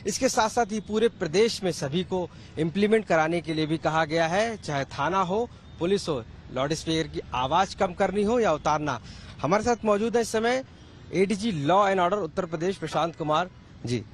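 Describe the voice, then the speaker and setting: A man's voice: high-pitched, normal speed. A man working as a TV field reporter, speaking in a wordy, insistent interview style. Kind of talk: news interview questioning. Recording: faint outdoor traffic noise.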